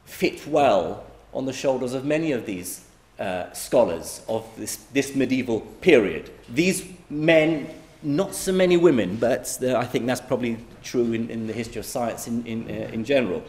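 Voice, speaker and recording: A man's voice: medium pitch, normal speed, darkish tone. A middle-aged man from Iraq giving a conversational public lecture, with frequent fillers and restarts. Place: lecture hall. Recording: echoey lecture hall.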